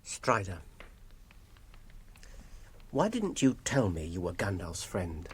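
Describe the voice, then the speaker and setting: Husky, earnest hobbit. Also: male voice, sits low, huge pitch swings, slow pace, exaggerated voice. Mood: earnest, flustered. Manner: hesitant, earnest, stammering. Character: earnest, anxious gentle hobbit hero. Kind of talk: classic radio drama acting.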